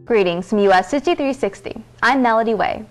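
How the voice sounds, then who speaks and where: A woman's voice: on the high side, brisk, over loud music. A woman hosting a feature segment, reading a scripted introduction in a reporter's style.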